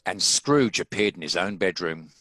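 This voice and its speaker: Bright, low-pitched male story narrator. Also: animated, normal speed, no echo, low-quality recording. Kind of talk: scripted story narration at a table read.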